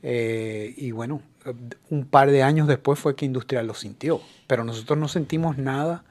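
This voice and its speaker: A man's voice: mid-range voice, normal speed, darkish tone. Hesitant middle-aged male radio guest. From New York, of Cuban parents. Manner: hesitant, lots of fillers. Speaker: guest, industrial real estate expert. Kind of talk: radio interview.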